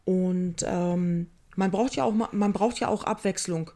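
A woman's voice: lowish voice, a little slow, warm tone. Joking, chatty woman podcaster. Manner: joking, chatty, self-mocking. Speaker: podcast co-host. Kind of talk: casual chat.

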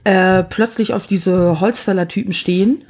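Woman, forties, husky, frank, blunt, casual chat, slangy. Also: medium pitch, steady tone, normal speed, darkish tone.

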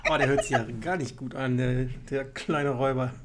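A man's voice: medium pitch, fairly even intonation, a little fast, heavy background noise. A man co-hosting a casual podcast, still nasal from a recent cold, chatting and laughing often. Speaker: podcast co-host. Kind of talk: casual chat.

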